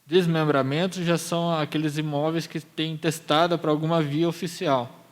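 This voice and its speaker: A Brazilian man giving a measured, explanatory presentation at a public hearing. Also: fairly high pitch, flat delivery. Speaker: municipal planning presenter.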